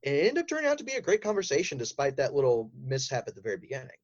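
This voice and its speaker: A man telling stories casually as an interview guest, in an animated way that acts out quotes. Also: mid-range voice, racing, muffled recording. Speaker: podcast guest, voice actor.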